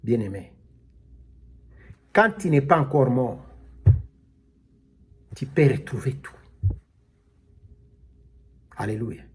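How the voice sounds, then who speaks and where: A man preaching a sermon, slow and emphatic, calling out alleluia as he explains scripture. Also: mid-range voice, animated, husky. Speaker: pastor.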